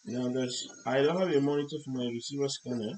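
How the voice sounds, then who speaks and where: Halting male tutorial presenter, noisy background. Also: medium pitch, fairly even intonation, darkish tone. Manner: halting, step-by-step explaining in short phrases. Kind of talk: hands-on electronics demonstration, unscripted. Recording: clear background noise.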